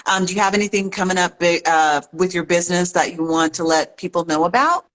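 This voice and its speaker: Host: woman with a lowish voice, supportive and chatty, relays viewer questions. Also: fairly quick. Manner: supportive listener, steady mm-hmms, reads out viewer questions. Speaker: interview host. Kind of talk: casual live interview.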